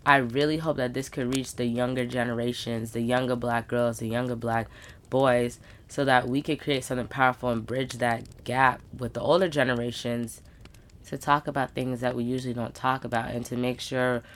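A woman's voice: rumbling low, little pitch movement, normal speed. Upbeat young woman podcast host. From United States. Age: 20s. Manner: casual, slangy, upbeat with emphatic asides. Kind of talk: solo podcast monologue.

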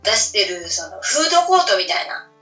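Bright-voiced woman with a Hakata accent. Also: mid-range voice, very animated, fairly quick, soft background music. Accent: Fukuoka Japanese (Hakata). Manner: frank, colloquial. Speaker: radio show co-host. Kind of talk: casual chat. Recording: distant, roomy, slightly muffled recording.

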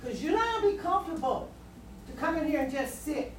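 A woman evangelist with a high voice exhorts a congregation earnestly, stumbling over repeated words. She is heard from far off in a very echoey church, with noise underneath. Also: animated, fairly quick. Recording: distant, echoey, noisy church room.

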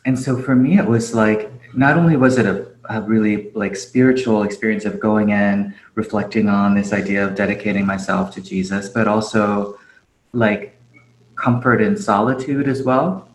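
A man's voice: on the low side, fairly even intonation, moderate pace. A man, a podcast guest, talking casually and reflectively with many fillers and easy laughs; he grew up in Japan. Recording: roomy echo.

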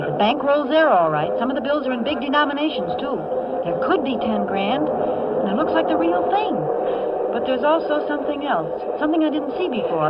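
A woman's voice: on the high side, close to an everyday voice. Woman acting a wisecracking American city dame, muffled noisy radio. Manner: slangy, sardonic, tough-talking. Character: wisecracking, streetwise young city woman, hard-boiled and wary. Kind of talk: 1940s radio drama acting with first-person narration.

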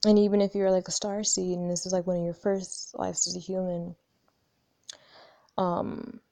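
Gravelly-voiced young woman musing aloud. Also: fairly low pitch, little pitch movement, normal speed, a little mellow, dry recording. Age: 20s. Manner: rambling, candid, swears freely, frequent restarts. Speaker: spiritual vlogger. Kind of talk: casual reflective monologue.

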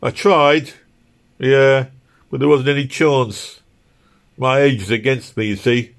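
A man's voice: mid-range voice, fairly even intonation, slow pace, voice pushed up. A man voicing an earnest, work-worn docker, for an audiobook. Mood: resigned, then curious. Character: broken-down fifty-four-year-old London docker, work-obsessed, earnest. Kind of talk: audiobook character dialogue.

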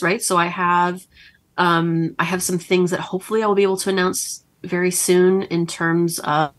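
A woman in her thirties from Northern Kentucky, a romance author chatting casually as an interview guest, with lots of ums and likes. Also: lowish voice. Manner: chatty and animated, frequent ums and likes, laughs as she talks.

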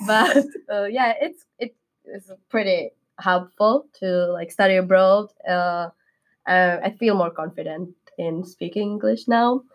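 A woman's voice: medium pitch, a little slow. A young woman from the Czech Republic, a native Czech speaker with a Czech accent, talking upbeat in a casual podcast as an exchange student guest. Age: young adult. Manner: upbeat, laughs often, careful non-native English.

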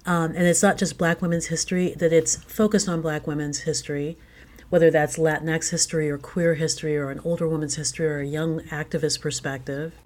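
A woman's voice: sits low, flat delivery, a little fast, darkish tone. A woman speaking as a playwright guest in a conversational interview, earnest and reflective about her work.

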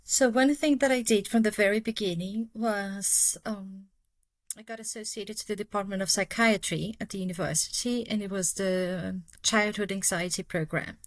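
Guest: brazilian woman, native portuguese, mid pitch, careful and hesitant, dry room. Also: no echo. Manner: careful, many fillers and self-corrections. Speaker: neuroscientist guest. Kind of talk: casual interview talk.

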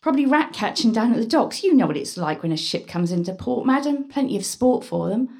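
An actress playing a caring, plain-spoken medieval maidservant in an audio drama, solicitous and gently bossy. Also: female voice, on the high side, expressive, brisk. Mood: reassuring, frank.

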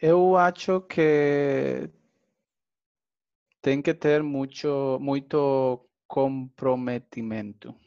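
Slow, hesitant man. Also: medium pitch, fairly even intonation, darkish tone, smooth, highs cut off. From Dominican Republic. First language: Spanish. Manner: careful, hesitant learner speech with fillers. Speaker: language student. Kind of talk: interview answers.

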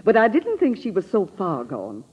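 A woman's voice: mid-range voice, huge pitch swings, moderate pace, husky, lo-fi sound, heavily acted. A woman acting a determined, suspicious middle-aged sister-in-law in a 1940s radio drama, blunt and accusing, on an old radio recording. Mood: indignant.

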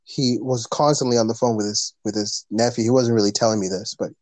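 Casting: guy, mid-pitched, earnest and rambling, podcast guest, dry recording. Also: steady tone, fairly quick, no room sound. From Canada. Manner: earnest, rambling, frequent fillers and restarts. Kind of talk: casual conversation.

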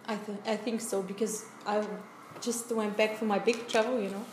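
A woman from Switzerland, chatting in halting English with many fillers as the one being interviewed. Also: mid-range voice, flat delivery. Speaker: interviewee, traveller. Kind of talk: casual chat in learner English. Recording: echoey room with noise behind.

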